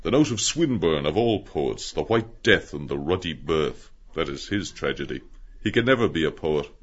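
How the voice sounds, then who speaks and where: A man's voice: a bass voice, sing-song, moderate pace, muffled recording, pitched below the performer's own voice, heavily acted. A man voicing a boisterous, mocking young man with theatrical delivery.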